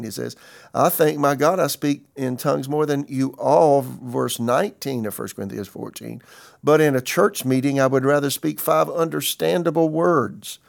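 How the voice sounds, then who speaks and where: A man's voice: mid-range voice, gravelly. A man in his sixties, a pastor, teaching a sermon in an earnest, conversational way and quoting scripture. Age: 60s.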